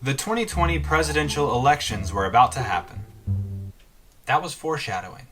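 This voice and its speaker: A man's voice: mid-range voice, animated, a little fast. A man hosting and narrating a storybook-style vlog, breaking into casual asides. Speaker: host and storyteller. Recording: loud music under the voice. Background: loud music.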